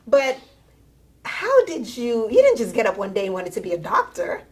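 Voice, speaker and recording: A woman's voice: fairly high pitch, huge pitch swings, moderate pace, darkish tone. Playful, teasing woman talk show host. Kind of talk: lively interview.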